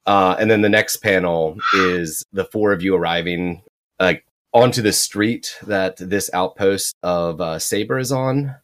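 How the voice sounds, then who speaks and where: A man's voice: low voice, a little slow. A man acting as game master, casually describing comic panels to his players with easy enthusiasm. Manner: relaxed, enthusiastic, lots of fillers.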